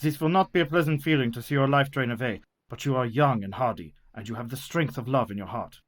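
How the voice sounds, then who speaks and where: An actor playing an authoritative older physician and mentor in a radio play, formal and commanding. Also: male voice, mid-range voice, fast, close to an everyday voice. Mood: urgent.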